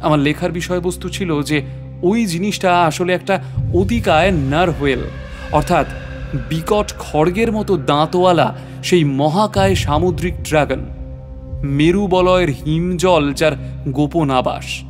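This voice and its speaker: একজন পুরুষ অভিনেতা প্রাকৃতিক ইতিহাসের অধ্যাপকের চরিত্রে নাটকীয়, রহস্যময় ভঙ্গিতে নিজের অভিযানের গল্প বলছেন।. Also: male voice, fairly high pitch, a little slow, faint music behind, close to an everyday voice. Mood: scholarly, intrigued. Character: male natural history professor recounting his adventure. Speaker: narrator. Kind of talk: dramatic scripted story narration.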